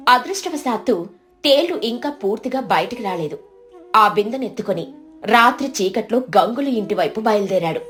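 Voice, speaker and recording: A woman giving a scripted narration of a moral story as its storyteller. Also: mid-range voice.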